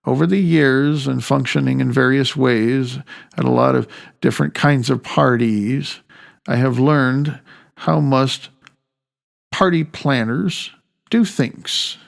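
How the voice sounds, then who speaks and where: A man in his fifties narrates a reflective essay as the author of the book. His voice sits at an average pitch with a heavy gravelly fry. He phrases things wryly, leaning on key words for emphasis. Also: slow pace. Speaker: author reading own audiobook.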